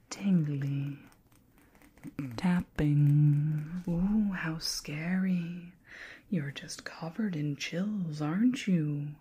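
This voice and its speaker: A woman playing a shy, hapless young female ghost in an ASMR roleplay, speaking softly and often whispering, with theatrically spooky teasing. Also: fairly low pitch, exaggerated voice. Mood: teasingly eerie. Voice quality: soft, breathy, often whispered.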